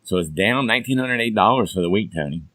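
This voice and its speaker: Hoarse guy hosting a chatty podcast, lowish bright voice, dry close mic. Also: animated, quick pace, dry recording. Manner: folksy, chatty, upbeat. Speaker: podcast host. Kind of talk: casual chat.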